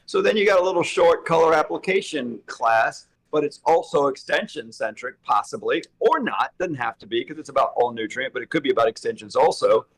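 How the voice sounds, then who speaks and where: A slightly hoarse man co-hosting a relaxed chat. His pitch sits in a middling range, and he rambles, piling up thoughts as they come. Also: fairly quick, hardly any pauses. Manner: rambling, thinks aloud. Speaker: co-host. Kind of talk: casual chat.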